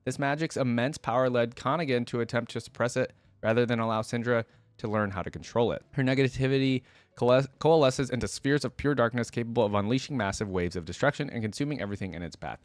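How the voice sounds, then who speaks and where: A man records casual reaction commentary in a dry space with very little room sound. His pitch sits in a middle range for a male voice. He moves informally between reading passages aloud and thinking through his own take on them. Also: fast talker, no echo. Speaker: reaction video creator.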